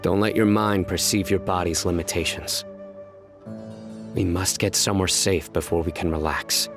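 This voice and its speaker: An actor playing a stern, vigilant enforcer in a video game, deadpan, measured and formal. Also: male voice, deep voice, over music. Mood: stoic. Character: stern, vigilant male desert enforcer, grave and composed. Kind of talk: video game character acting.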